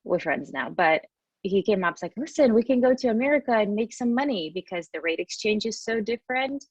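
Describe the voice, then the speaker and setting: A woman's voice: medium pitch, lively intonation, fairly quick, slightly rough, dry recording, muffled recording. A woman in her thirties with a Russian accent, telling her story casually and expressively as a podcast guest, in a chatty way. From Western Siberia, Russia.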